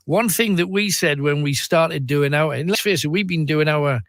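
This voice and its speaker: A man's voice: fairly high pitch, normal speed, no echo, clean studio sound. A man hosting a phone-in show, chatting casually and reassuring a caller. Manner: reassuring, friendly banter. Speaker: host. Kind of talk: casual radio chat.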